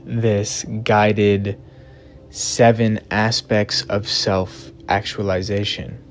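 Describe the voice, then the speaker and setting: A man narrating a guided meditation in a measured, deliberate way, in short phrases separated by long pauses. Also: lowish voice, flat delivery, a little hiss or hum. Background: background music.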